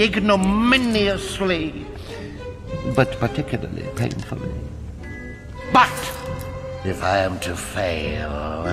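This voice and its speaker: A man's voice: sits high, full character voice. An actor playing a gloating, pompous evil sorcerer in a video game, sardonic and theatrical in his delivery. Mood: furious, theatrical. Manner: hammy, sardonic, drawn-out menacing delivery. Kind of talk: scripted video game villain acting. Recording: long reverb, music behind.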